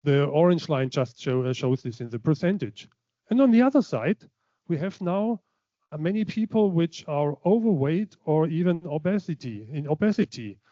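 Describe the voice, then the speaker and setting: A man lectures from slides at a conference, pausing often on fillers and restarting his sentences. His voice sits a little high for a man, yet it sounds mellow and dark. The sound is dry and slightly muffled. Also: expressive, dry recording. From Germany. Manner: hesitant, many fillers and restarts. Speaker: keynote speaker.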